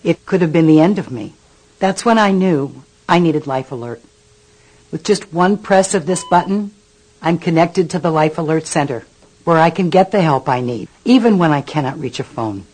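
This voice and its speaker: A woman's voice: deep voice, animated, moderate pace, darkish tone, rough recording. A woman playing an older grandmother in a scripted advert testimonial, speaking earnestly and dramatically about her own ordeal. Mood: reassured. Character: frightened grandmother recounting a fall, grateful for help.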